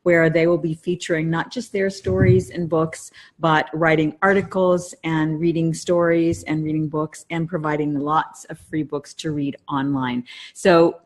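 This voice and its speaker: A woman's voice: low voice, level tone, measured pace. A woman co-hosting a podcast, explaining things casually in a wordy way and rephrasing herself as she goes. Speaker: podcast co-host.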